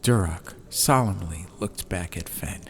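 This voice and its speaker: A man's voice: sits low, a little slow, fairly bright tone. A man reading a scripted atmospheric storybook tale as an audiobook narrator. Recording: faint noise and ambience behind voice. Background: ambient background sound.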